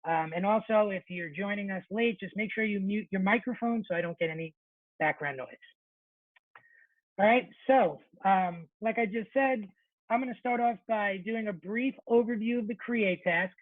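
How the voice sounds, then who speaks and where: A man presenting an informal webinar for teachers, chatty and relaxed, often correcting himself mid-sentence. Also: high voice, normal speed, no room sound. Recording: thin phone-like webinar audio, dry.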